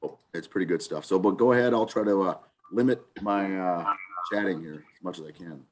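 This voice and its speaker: Hoarse deep-voiced man with a cold. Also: a little fast. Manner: relaxed, hesitant with fillers. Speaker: podcast co-host. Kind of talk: casual sports podcast chat.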